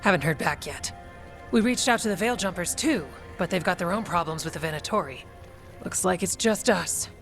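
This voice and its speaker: An actress playing a frank, weary warrior officer in a video game, speaking in a plain, businesslike way. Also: female voice, on the low side, lively intonation, moderate pace, fairly bright tone. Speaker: Warden officer giving battle briefing. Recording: music behind the voice.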